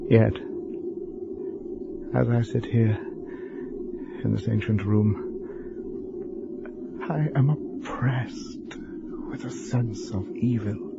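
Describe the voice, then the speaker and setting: Low-voiced, haunted gentleman, lo-fi. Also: creaky, heavily acted. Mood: oppressed, nervous. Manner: formal, measured, halting delivery with weighted pauses. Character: frightened, educated man writing a letter in a haunted house. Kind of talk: dramatic first-person horror monologue, radio drama. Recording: dull, lo-fi, noisy radio drama recording.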